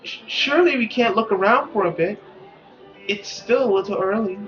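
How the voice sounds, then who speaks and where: A man voicing a timid, pleading young daughter in a visual novel, soft and hesitant. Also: high-pitched, normal speed, muffled recording, soft background music.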